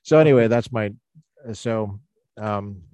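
A man hosting a podcast who tells a personal story in an animated, casual way, often restarting his sentences. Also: on the low side, takes it slowly, clean tone, no echo, dull audio. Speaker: podcast host.

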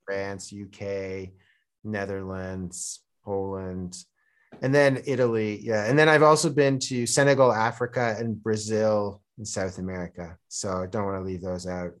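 A man, a podcast guest, who chats in a relaxed, conversational way with fillers. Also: on the low side, unhurried. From Canada. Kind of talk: casual interview conversation.

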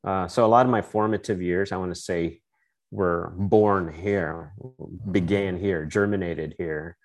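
A man's voice: low voice, fairly even intonation, unhurried. A middle-aged man from the Philippines, a guest in a casual interview, reflecting on his youth in a storytelling way with many restarts.

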